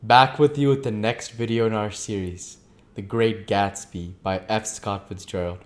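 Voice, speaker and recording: A man reading a classic novel aloud as an amateur audiobook reader, with deliberate, emphatic, theatrical delivery. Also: lowish voice, steady tone.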